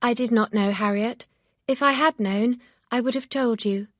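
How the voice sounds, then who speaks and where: A woman reader voicing a proud, earnest young woman, pitched up and emphatic. Her tone stays firm and sincere. The sound is close and dry. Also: normal speed, fairly bright tone, dry recording, natural voice.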